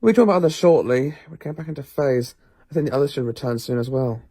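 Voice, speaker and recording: A man acting as a clever, commanding professor in an audio drama, speaking in a theatrical, deliberate way. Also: medium pitch, expressive, dark tone, natural voice. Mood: reassuring. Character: commanding, clever professor, weary rescuer of others. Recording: long reverb with music behind. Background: music.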